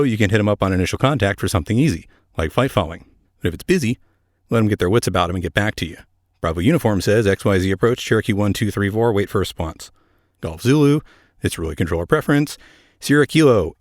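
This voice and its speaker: A man's voice: lowish voice, no room sound, studio quality. A man hosting a podcast, delivering scripted narration in a conversational way with wry asides. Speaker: podcast host; air traffic controller.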